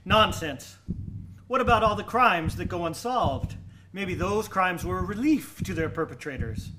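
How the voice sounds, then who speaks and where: A man's voice: sits high, animated, measured pace. An actor playing a nervous, guilt-ridden murderer hiding his crime, defensive and theatrical in a radio drama. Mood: uneasy, defensive. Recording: roomy echo with faint noise.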